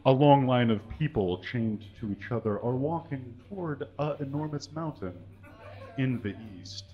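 Deadpan man doing a mock-earnest reading. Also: mid-range voice, normal speed, lo-fi sound. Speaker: guest reader. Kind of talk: comic dramatic reading. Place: small stage with curtains and brick wall. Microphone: stand mic.

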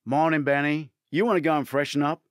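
A man voicing a brisk, matter-of-fact male investigator with a wry streak in an audiobook. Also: a little high, fairly even intonation, dry recording, studio quality, natural voice. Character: brisk, teasing male investigator colleague delivering bad news.

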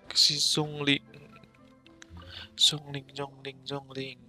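An Indonesian-accented man comments casually while gaming, in short, muttered remarks. His voice is mid-pitched, flat and unhurried, with faint music behind. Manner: muttering brief remarks, echoing game lines. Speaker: gaming video maker.

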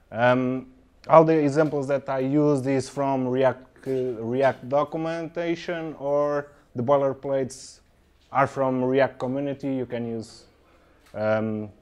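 Young man, Portuguese accent, conference speaker, halting English, handheld mic, indoor room. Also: medium pitch, darkish tone. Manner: halting non-native English with frequent ums and okays. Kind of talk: tech talk presentation.

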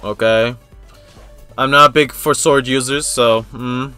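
A young man giving casual, excitable gamer reaction commentary, slangy and exclamatory, often repeating himself. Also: mid-range voice, slow pace, clean tone, no echo, faint music behind. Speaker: reaction video host.

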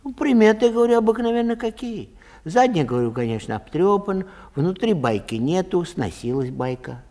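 A man's voice: high-pitched, very animated, moderate pace, warm tone, pitched above the performer's own voice. A man playing a naive, earnest citizen in a comic story, effusive and pleading in colloquial speech. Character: naive, pleading, grateful man who lost a galosh. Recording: long hall reverb.

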